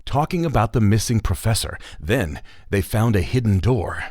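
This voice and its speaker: A man's voice: lowish voice, animated, normal speed, darkish tone, no room sound, clean studio sound. A man playing a theatrical, easily exasperated narrator of a children's audio story. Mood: suspenseful.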